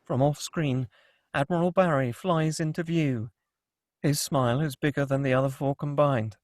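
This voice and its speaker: A man's voice: fairly high pitch, steady tone, normal speed, a little mellow, no echo, clean studio sound. A man reading screenplay action and scene directions as scripted narration at a table read.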